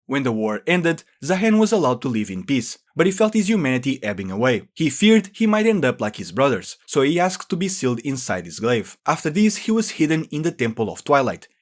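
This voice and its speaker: A male video creator delivers scripted, explanatory narration. His voice sits at an average pitch and moves up and down in a lively way. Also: brisk, hardly any pauses.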